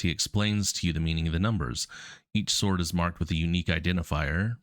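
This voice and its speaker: A man's voice: sits low, vocal fry, dry recording. A man with a cold and a cough drop in his mouth, casually reading puzzle text and thinking aloud. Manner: casual, relaxed, many ums. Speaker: coding streamer.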